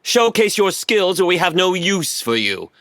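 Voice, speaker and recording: Stern hacker commander, bright forceful tone, very dry close sound, mock-polite and imperious. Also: male voice, high-pitched, very animated, a little slow, no room sound, heavily acted. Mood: scornful, commanding. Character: haughty, stern male Chinese hacker boss. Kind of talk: audio play comedy acting.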